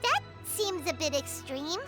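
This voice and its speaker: A woman voicing a tiny, curious, chirpy fairy companion with a childlike squeaky voice in a video game. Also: sing-song, measured pace, over loud music. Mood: puzzled. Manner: speaks of herself in third person.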